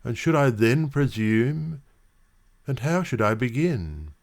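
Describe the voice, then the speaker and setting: A man reciting literary verse dramatically, with measured, expressive phrasing. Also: mid-range voice. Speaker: poetry reader.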